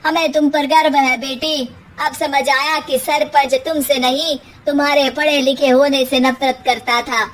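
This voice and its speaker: A woman voicing a repentant older villager in a cartoon story, speaking slowly and apologetically. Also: sits high, flat delivery. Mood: apologetic.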